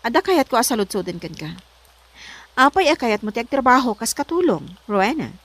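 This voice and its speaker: A woman's voice: fairly high pitch, sing-song, brisk, a bit bright, no room sound. A woman with an Ilocano accent playing a strict, suspicious, bossy lady of the house in a comedy drama. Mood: stern, questioning. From Philippines. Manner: scolding, demanding.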